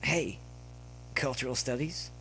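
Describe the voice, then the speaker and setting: A man's voice: mid-range voice, measured pace, gravelly, bright, forceful tone, a little hiss or hum, pitched above the performer's own voice, low-quality recording. A man voicing a friendly, upbeat young male student pony in a story reading, casual and inquisitive. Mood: friendly, curious. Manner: casual, inquisitive, slightly hesitant.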